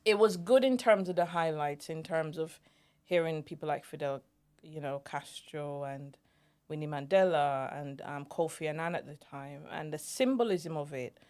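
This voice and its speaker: A young woman sits with her co-hosts and tells a reflective story from her own life. Her voice sits on the low side. When she quotes people she remembers, she acts out their voices. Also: moderate pace, a little mellow. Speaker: podcast co-host. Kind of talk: casual storytelling chat.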